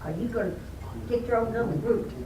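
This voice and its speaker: A woman in the audience asks a candidate a lively question. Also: a little high, brisk. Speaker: audience member. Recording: echoey room, from far off, crowd noise.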